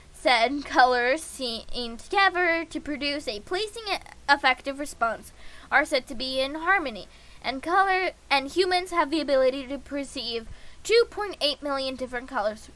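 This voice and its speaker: A woman's voice: sits high, normal speed, sharp tone. A woman streaming a visual novel, reading every character's lines and the narration aloud herself, with frequent small stumbles and restarts. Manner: reads all parts herself with small restarts and slips.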